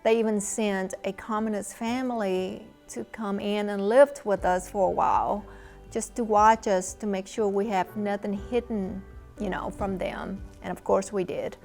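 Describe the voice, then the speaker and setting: A woman in her fifties with a Vietnamese accent, telling her own story in a measured, reflective way for a documentary interview. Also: mid-range voice, steady tone, creaky, a little mellow, faint music behind. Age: 50s. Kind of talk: personal testimony in a documentary interview.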